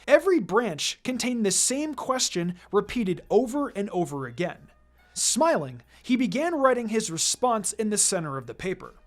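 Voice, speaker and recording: A narrator reading a fan fiction story aloud in an expressive, scripted storytelling style. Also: mid-range voice, moderate pace. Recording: music behind the voice. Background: background music.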